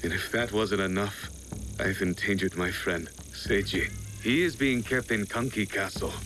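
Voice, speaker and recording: Deep-voiced, forceful renegade ronin. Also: male voice, a little slow, soft background music, rough recording. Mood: grave, resolute. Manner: formal, grave, earnest. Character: stern, loyal renegade male ronin warrior. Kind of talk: scripted video game cutscene acting.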